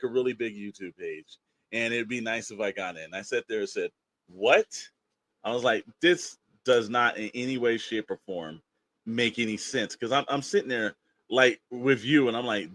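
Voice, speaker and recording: A man's voice: medium pitch, a bit bright, dry recording. A man co-hosting a podcast, talking in a casual, slangy, emphatic storyteller's way that rambles along. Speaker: podcast co-host.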